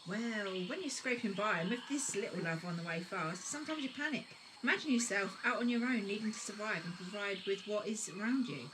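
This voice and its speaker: A woman's voice: medium pitch, lively intonation, brisk, few pauses, background noise, close to an everyday voice. A woman in an audio drama playing a resourceful mother, plain-spoken and reflective.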